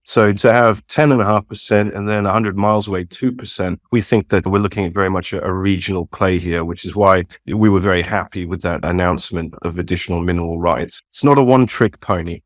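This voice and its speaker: Man, low voice, non-North American accent, company chief, measured explanations, dry close sound. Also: fairly even intonation, dry recording. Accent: non-North American English. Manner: measured, explanatory, with frequent fillers. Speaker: company CEO guest. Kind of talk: business interview answers. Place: home, dog barking at times.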